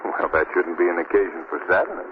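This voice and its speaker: A rational take-charge detective type, very animated delivery, crackly thin old radio show. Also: male voice, deep voice, brisk. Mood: reassuring. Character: calm, rational gentleman investigator, reassuring and decisive. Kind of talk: 1940s radio drama acting. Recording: tinny, noisy old radio recording.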